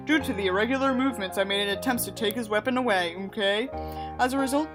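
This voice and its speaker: A woman reading a book aloud and adding sarcastic, exasperated, emphatic asides of her own. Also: medium pitch. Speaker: audiobook reader and commentator.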